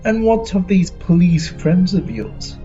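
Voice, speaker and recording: A man's voice: high voice, big pitch swings, unhurried, mellow. A man playing a stately ship's commander with a formal, pompous manner in a fan audio drama. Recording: dull lo-fi sound, faint music.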